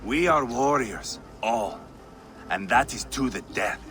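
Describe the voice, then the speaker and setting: An actor playing a boastful, battle-hungry Viking warrior in a video game, blunt and swaggering. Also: male voice, mid-range voice, expressive, takes it slowly, a bit bright, soft background music. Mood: earnest, fierce. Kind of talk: video game cutscene acting.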